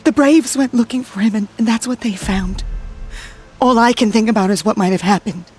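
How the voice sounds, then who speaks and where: A woman's voice: fairly high pitch, fairly quick, a little mellow, breathy edge. An actress playing an anxious, grieving tribeswoman in a video game, hesitant and earnest as she pleads. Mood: distraught, pleading. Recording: music under the voice.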